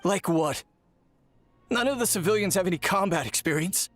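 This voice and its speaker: An actor playing a grave, weary male warrior in a video game, a sole survivor with a husky voice. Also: sits high, lively intonation, normal speed, fairly bright tone. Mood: bleak despair. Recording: game music behind the voice.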